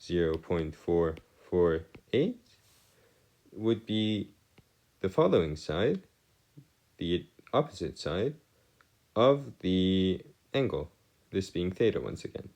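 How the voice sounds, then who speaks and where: A man giving an explanatory maths lesson as a tutor, with formal, wordy phrasing and halting, hesitant delivery. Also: sits low, sing-song, darkish tone. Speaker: math tutor. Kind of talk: explanatory maths lesson over written working.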